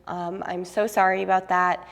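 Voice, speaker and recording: A young woman guiding a yoga class at an even, unhurried pace, her cues calm and steady. She sounds a bit sniffly from a cold and speaks into a clip-on mic. A long reverb hangs on her voice, as in a large room. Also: lowish voice, gravelly. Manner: calm, steady cueing with soft fillers. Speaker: yoga instructor.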